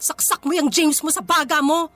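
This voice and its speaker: High-voiced, proud poor young woman. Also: steady tone, normal speed, a bit bright, faint music behind. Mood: defiant. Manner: earnest, emotional, dignified. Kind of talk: Tagalog radio drama acting.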